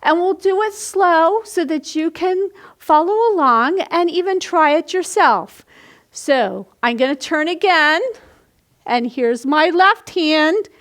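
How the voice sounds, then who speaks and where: A woman with a high voice speaks slowly, as a children's storytime host would. She explains simple movements step by step, demonstrating as she goes.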